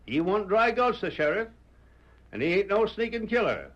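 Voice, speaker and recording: A man's voice: high-pitched, big pitch swings, moderate pace, fairly bright tone, exaggerated voice. A man playing an older, plain-spoken former sheriff in an old radio western, with a folksy Western American accent and emphatic frontier talk. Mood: earnest, opinionated. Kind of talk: 1940s radio western acting. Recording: muffled old radio broadcast.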